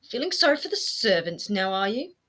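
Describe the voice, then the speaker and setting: A woman voicing a spiteful, quick-tempered young man in a storybook reading, snapping and sneering as she speaks his lines. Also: mid-range voice, big pitch swings, a bit bright, voice pushed up. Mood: contemptuous. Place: home room lined with bookshelves. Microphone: webcam framing, seated close to camera.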